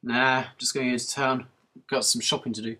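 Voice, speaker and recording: An awkward young male college student played by an amateur actor, chatting casually. He is friendly and unsure of himself, with a mid-pitched voice. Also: level tone, moderate pace, pushed and bright. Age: young adult. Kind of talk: casual acted dialogue.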